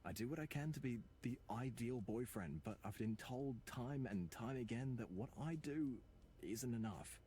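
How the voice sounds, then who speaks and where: A man's voice: mid-range voice, lively intonation, normal speed, dark tone, natural voice. A man acting a troubled, self-doubting, earnest young man in a visual novel, with faint ambience behind him. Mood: troubled, confiding. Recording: faint background noise and ambience.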